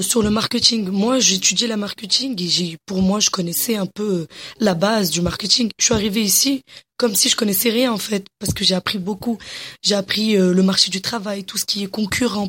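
A young woman from Morocco answering interview questions eagerly, often pausing and restarting her sentences. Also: mid-range voice, a bit bright, breathy edge. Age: young adult. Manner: enthusiastic, many hesitations and restarts.

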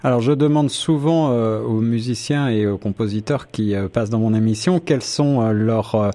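A man's voice: mid-range voice, moderate pace, dark tone. A man hosting a radio show, interviewing a guest with long, winding, conversational questions. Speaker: radio host.

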